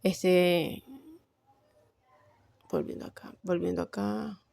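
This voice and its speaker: Gravelly Colombian coastal woman. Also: on the low side, steady tone, slow, darkish tone, no echo. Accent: Colombian Caribbean coast. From Barranquilla, Colombia. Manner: rambling, thinking aloud, frequent fillers. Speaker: vlogger. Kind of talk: casual personal chat.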